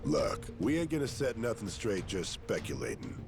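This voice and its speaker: A man playing a gruff, steadfast middle-aged gangster with a blunt, stern, folksy way of talking in a video game cutscene. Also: on the low side, wildly swooping pitch, moderate pace, full character voice. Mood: stern, level. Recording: game music behind the voice.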